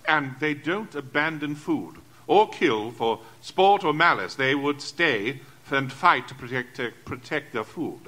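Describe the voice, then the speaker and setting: An actor playing an eloquent, self-assured gentleman big game hunter in an audio drama, speaking in formal, measured oratory. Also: fairly high pitch, animated, full character voice. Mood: grave, expository. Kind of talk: theatrical audio drama acting.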